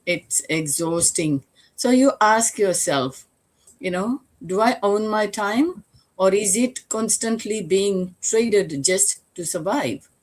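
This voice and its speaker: A woman's voice: medium pitch, expressive, takes it slowly, poor audio. An older woman from Fiji hosting a live online talk show, speaking earnestly and reflectively, with rhetorical questions. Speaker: host.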